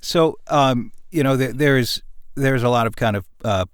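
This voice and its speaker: A man chatting casually as a panel guest, in a halting, thoughtful way. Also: medium pitch, clear, resonant tone, no room sound, clean studio sound. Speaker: podcast panelist. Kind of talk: casual chat.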